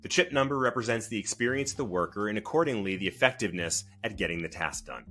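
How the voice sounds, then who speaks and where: A man's voice: lowish voice, lively intonation, fast talker, sharp tone, faint music behind. A man co-hosting a board game podcast, reading a prepared explanation in an articulate, descriptive presenter's manner. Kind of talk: scripted explanatory narration.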